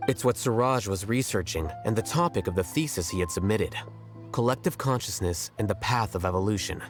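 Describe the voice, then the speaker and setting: Composed, aloof scholar with a low voice, voiced by a man, calm and measured. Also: close to an everyday voice. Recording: game audio with music behind. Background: game music.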